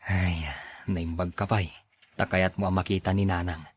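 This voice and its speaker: A man playing a dutiful, kind-hearted grown son in a radio drama, earnest and coaxing in a husky voice. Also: low voice, level tone, normal speed, slight background noise, close to an everyday voice. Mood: grateful, burdened. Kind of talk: Ilocano radio drama acting.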